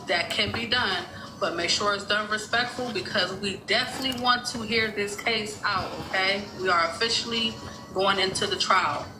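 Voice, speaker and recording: A woman hosting a mock courtroom on a live stream, calling up jurors and keeping order. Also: medium pitch. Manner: directive, runs the proceedings. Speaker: mock court judge, host. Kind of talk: casual livestream hosting. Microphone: phone on live stream. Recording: echoey room with noise.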